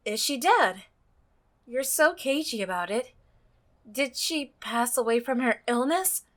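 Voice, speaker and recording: A woman's voice: high voice, bright, forceful tone. A woman playing a visual novel, reading it aloud and reacting expressively, exclaiming and laughing at the story. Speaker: let's play gamer.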